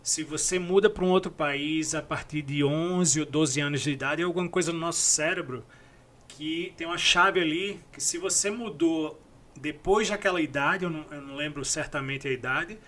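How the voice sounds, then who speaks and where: A man's voice: a little high, normal speed, sharp tone. A man co-hosting a podcast, speaking emphatically and giving firm advice in casual chat. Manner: emphatic, gives advice firmly. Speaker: podcast co-host.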